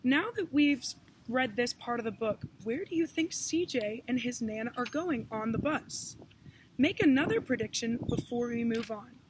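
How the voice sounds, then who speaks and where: High-voiced young woman guiding young children. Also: animated, moderate pace. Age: young adult. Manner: encouraging, teacherly. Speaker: student read-aloud presenter. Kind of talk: instructional address to young children. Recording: noticeable background noise.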